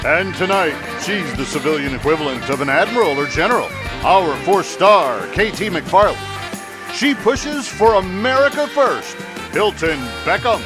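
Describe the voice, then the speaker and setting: A man announcing a radio show in a scripted introduction. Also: high voice. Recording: loud music bed under voice. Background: loud music.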